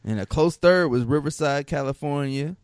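A man's voice: a little high, normal speed, clear, resonant tone, no echo, clean studio sound. Slangy laid-back male podcast co-host. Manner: loose slangy storytelling, lots of fillers. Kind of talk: casual chat.

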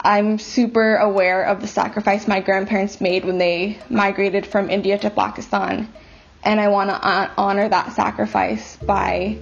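An earnest American woman speaks in a measured, reflective way, with a gravelly edge to her voice. The clip sounds dull and lo-fi, as though it was sent in from a basic recording. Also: medium pitch. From United States. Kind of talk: reflective personal comment.

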